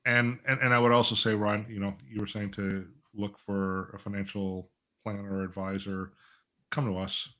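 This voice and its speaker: A man's voice: fairly low pitch, little pitch movement, normal speed, pushed and bright. A man talking conversationally as a financial advisor on a panel, explaining things through little imagined dialogues and check-ins. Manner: explanatory, uses acted-out client dialogue and rhetorical check-ins. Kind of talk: conversational live panel talk.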